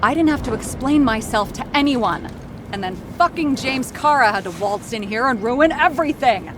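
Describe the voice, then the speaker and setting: A woman's voice: animated, moderate pace, natural voice. An actress playing a jaded, defensive woman in a video game, speaking in a caustic, guarded and blunt way. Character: jaded, defensive woman in a borrowed, worn-out body. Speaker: video game character actor. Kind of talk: dramatic video game dialogue acting. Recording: very noisy game ambience, vehicle rumble.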